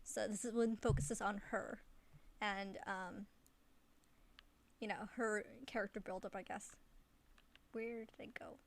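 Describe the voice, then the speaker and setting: A woman chatting casually while streaming a game, giggly and halting as she restarts her thoughts. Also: medium pitch, fairly even intonation. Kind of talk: casual chat while playing a video game. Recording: faint background noise.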